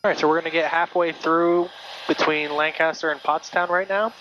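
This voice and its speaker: A man's voice: a little high, fairly even intonation, a little fast, bright, forceful tone. A man working as a flight instructor, patiently and casually explaining things step by step over a cockpit headset. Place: small aircraft cockpit in flight. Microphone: aviation headset intercom. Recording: muffled intercom audio with clear cabin noise.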